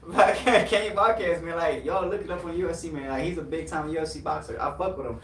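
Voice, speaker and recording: A man with a Haitian accent, somewhat high in pitch, trading loud, crude banter as host of a casual chat. He sits back from the mic in an echoey room with a faint hiss underneath. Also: quick pace. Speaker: podcast host. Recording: echoey room, far from the mic, light noise.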